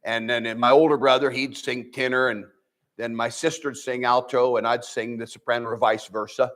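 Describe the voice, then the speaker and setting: An older man from the American Midwest with a nasal voice, preaching a sermon as pastor in a folksy, animated way with many restarts. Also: medium pitch, moderate pace. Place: church.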